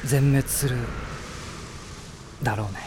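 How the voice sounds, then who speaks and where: An actor playing a gentle, earnest young prince who speaks in a measured, soft-spoken way in a radio drama. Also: male voice, mid-range voice, a little hiss or hum, natural voice. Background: music.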